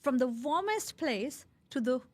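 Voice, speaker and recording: A woman's voice: high-pitched, lively intonation, a little slow, no echo. A woman hosting an upbeat interview show, speaking in an effusive, encouraging way.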